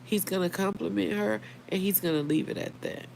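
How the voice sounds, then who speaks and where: A middle-aged American woman, a little hoarse, chatting casually and earnestly on a livestream and speaking straight to her listeners. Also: medium pitch, a little fast, a little mellow, slight background noise. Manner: conversational, earnest, addresses listeners directly. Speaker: livestream host. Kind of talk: casual live chat reading viewer comments.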